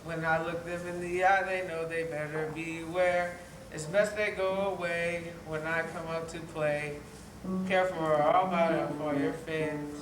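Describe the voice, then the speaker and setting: A man's voice: fairly high pitch, little pitch movement, a little slow, a bit bright, far from the mic. Man playing a boastful shark bully, echoey hall. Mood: menacing, boastful. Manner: menacing, bragging, theatrical villain. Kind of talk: children's musical stage play acting. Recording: echoey, noisy hall recorded from afar.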